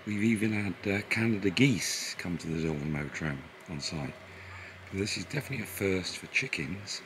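A man in his fifties with a very low voice gives a relaxed, chatty walk-around of a campsite, with plenty of "uh"s as he goes. He films himself outdoors at arm's length on a handheld camera. Birdsong and rural outdoor noise come through clearly behind him. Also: a little fast. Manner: relaxed, chatty, with frequent fillers. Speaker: vlogger touring a campsite. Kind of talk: casual walk-around commentary. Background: birdsong and rural outdoor ambience.

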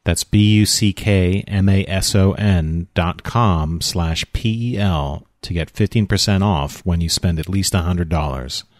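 Deep-voiced man reading a podcast ad. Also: unhurried, hardly any pauses, no room sound, studio quality. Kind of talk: scripted sponsor read.

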